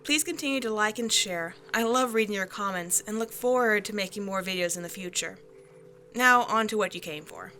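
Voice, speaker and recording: A woman's voice: mid-range voice, a little fast, pushed and bright. Woman ASMR creator doing announcements, upbeat and direct, light hiss in the back. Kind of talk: channel announcement.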